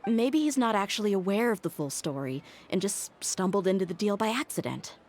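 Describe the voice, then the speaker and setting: A woman's voice: medium pitch, animated, fairly quick, a little mellow, close to an everyday voice. An actress voicing a tough female mercenary bodyguard in a video game, talking casually and directly. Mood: serious.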